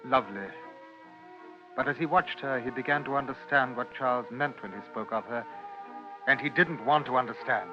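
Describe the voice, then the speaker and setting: A man narrating a literary 1950s radio drama in a measured, dramatic manner. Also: medium pitch, over music. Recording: muffled vintage radio recording.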